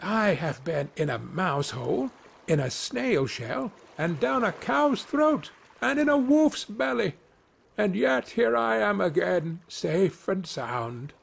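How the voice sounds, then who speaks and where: A tiny, boastful storybook boy, acted out by a man with a high, very lively voice. He calls out boldly and cheekily, full of merry pluck. There is clear noise on the recording. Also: slow, full character voice. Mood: proud. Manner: calling out, merry and bold. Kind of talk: storybook character voice.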